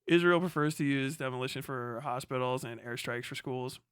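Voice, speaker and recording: A man's voice: medium pitch, normal speed, fairly bright tone, smooth, no echo, studio quality. A man hosting a casual livestream, giving blunt, profane, slangy political commentary and talking back to chat. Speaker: livestream host.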